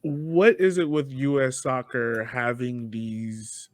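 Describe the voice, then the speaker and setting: A man's voice: medium pitch, fairly even intonation, slow, no echo. A man hosting a podcast interview, talking in a casual, conversational style. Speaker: podcast host.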